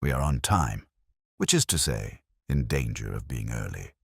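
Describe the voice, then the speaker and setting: A man voicing a cool, precise, commanding Victorian detective with deliberate, theatrical pronouncements in an audiobook. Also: rumbling low, animated, vocal fry, slightly rough, dry recording, studio quality, pitched below the performer's own voice, full character voice.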